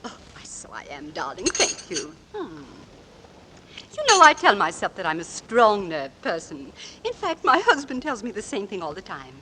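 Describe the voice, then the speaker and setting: A woman's voice: mid-range voice, huge pitch swings, moderate pace. An actress playing a chatty, tactless middle-aged neighbour and mother, gushing and fussy in 1940s radio style. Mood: deliciously uneasy. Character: chatty, tactless neighbour lady and mother, delighting in cheerful horrors. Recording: noisy vintage radio recording.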